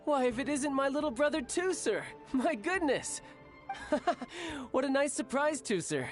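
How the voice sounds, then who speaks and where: A man's voice: very high-pitched, a little slow. An actor playing a breezy, affectionate and protective young man, a big brother in a video game. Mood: delighted, affectionate. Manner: animated, affectionate, easygoing. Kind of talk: animated video game voice acting. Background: game music.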